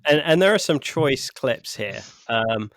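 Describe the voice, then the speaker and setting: A man co-hosting a podcast, talking about a film in a measured, thoughtful way. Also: medium pitch, animated, a bit bright, no echo. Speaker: podcast co-host. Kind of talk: casual film discussion.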